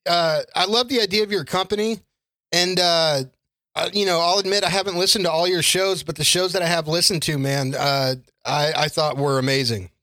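A man's voice: fairly high pitch, steady tone, moderate pace, bright, forceful tone, no echo. A man in his thirties co-hosting a podcast, joking and bantering with frequent laughs. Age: 30s. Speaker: podcast co-host.

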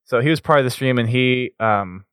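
A man's voice: mid-range voice, brisk, fairly bright tone, clear, resonant tone, dry recording, clean studio sound. A man hosting a charity live stream, upbeat as he announces giveaway winners and sponsors. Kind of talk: casual stream chat.

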